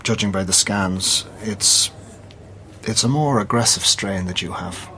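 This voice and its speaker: An actor playing a candid, careful hospital consultant who explains things hesitantly in a radio drama. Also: male voice, fairly low pitch, measured pace, sharp tone, slight background noise. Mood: measured. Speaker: doctor.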